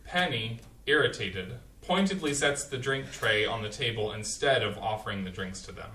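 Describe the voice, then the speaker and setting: A man's voice: medium pitch, expressive, normal speed, bright, forceful tone. A man reading the stage directions aloud in a staged play reading. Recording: distant, roomy, faint hiss.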